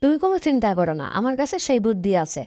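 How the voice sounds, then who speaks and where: A woman's voice: fairly high pitch, sing-song, very fast, dark tone, dry recording. A woman voicing a contented, chatty young fox wife in a cartoon story. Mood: assertive.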